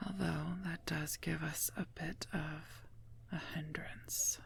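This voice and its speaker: A stern but protective werewolf knight played by a woman whispers in a breathy, heavily creaky voice. The delivery is formal and earnest with little melodic movement, gently scolding as in an intimate ASMR roleplay. Faint background ambience sits behind the close-up voice. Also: low voice, fairly even intonation, slow, slight background noise, exaggerated voice. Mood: careful, concerned.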